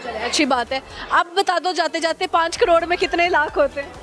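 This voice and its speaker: A woman's voice: extremely high pitch, a little fast. A young woman hosting a street interview, teasing her guest and laughing often. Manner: playful, mocking, laughs often. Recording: outdoor street recording with crowd and music.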